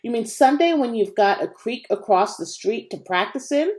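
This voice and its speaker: A woman voicing a sharp, teasing, sardonic young girl in a children's storybook reading. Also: a little high, expressive, moderate pace, pitched above the performer's own voice, lightly acted. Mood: challenging, teasing.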